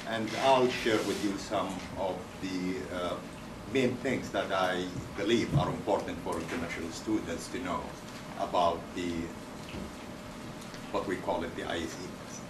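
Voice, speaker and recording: Low-voiced man, measured, in an echoey room. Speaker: testing bureau associate director. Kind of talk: panel self-introduction. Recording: echoey classroom, distant mic, noisy.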